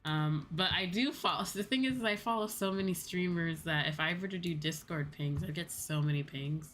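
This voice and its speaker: Low-pitched woman, chatting over music. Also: brisk, a bit bright. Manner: rambling, conversational, casual swearing. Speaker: VTuber livestreamer. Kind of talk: casual chat with livestream viewers. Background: background music.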